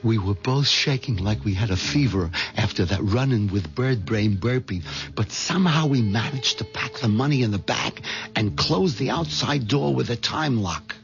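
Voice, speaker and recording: An actor playing a nervous, henpecked middle-aged husband with a hesitant manner in a 1970s radio drama. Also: male voice, medium pitch, animated, moderate pace, vocal fry. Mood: anxious, confiding. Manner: stammering, hesitant, anxious. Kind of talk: 1970s radio drama acting and first-person narration. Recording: muffled 1970s radio broadcast with music.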